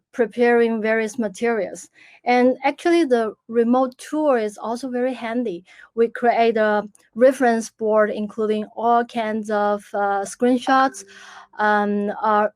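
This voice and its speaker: A woman's voice: fairly high pitch, no room sound. Young woman giving a careful online talk. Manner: careful, hesitant with fillers. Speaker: speaker. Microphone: video call.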